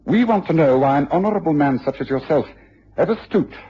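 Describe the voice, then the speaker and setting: A keen detective in a radio drama, with a very expressive, slightly rough voice. He is deliberate, precise and in command. The recording is dull, like a radio broadcast. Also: a little high. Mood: firm, coaxing. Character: keen, composed male English detective, probing and authoritative.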